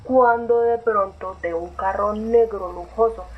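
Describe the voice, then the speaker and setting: A woman's voice: mid-range voice, fairly even intonation, a little slow, close to an everyday voice. A woman playing an awed female storyteller in a dramatic radio-play monologue, recounting a night on the street. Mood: awed storytelling. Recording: thin phone-like band.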